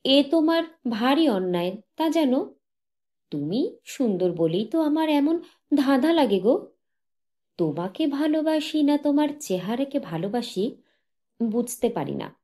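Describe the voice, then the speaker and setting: Woman acting tender young wife, pitched up. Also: sing-song, measured pace, pauses often, mellow. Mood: teasing, adoring. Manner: coaxing, tender speech. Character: young adoring wife, playful, jealous and anxious.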